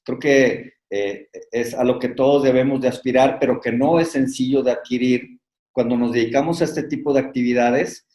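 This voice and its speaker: A man hosting an interview, introducing the topic in a measured way and listing points with pauses, speaking over a video call. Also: medium pitch, fairly even intonation, warm tone. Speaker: host. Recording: echoey room.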